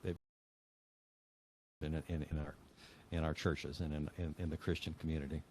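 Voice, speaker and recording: A man speaking as an interview guest, earnest and opinionated, in halting conversational talk that repeats words. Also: rumbling low, little pitch movement, darkish tone.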